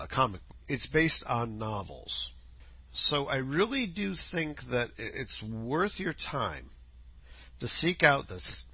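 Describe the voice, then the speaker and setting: Male podcast host, scratchy and husky, animated rambling solo chat, dull lo-fi home recording. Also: medium pitch, no echo. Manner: rambling, chatty, self-correcting. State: scratchy voice from dust. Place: home, outdoor noises faintly heard.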